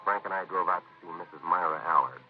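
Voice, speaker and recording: An actor playing a terse American police detective in a 1950s radio drama, narrating in a deadpan, clipped manner. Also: male voice, deep voice, quick pace, loud music behind the voice, lightly acted. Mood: matter-of-fact. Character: terse, businesslike male police detective, narrating his case. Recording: tinny, noisy vintage radio recording.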